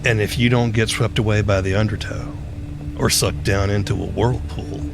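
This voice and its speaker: A man playing a haunted small-town storyteller in a horror audio drama, hushed, folksy and brooding. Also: fairly low pitch, fairly even intonation, normal speed, creaky, noisy background. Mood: grim, resigned. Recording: loud music behind.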